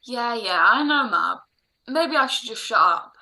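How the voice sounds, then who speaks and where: A woman's voice: fairly high pitch, lively intonation, a little slow, sharp tone, full character voice. A woman playing an earnest, restless, dutiful son in a radio drama. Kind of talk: classic radio drama acting.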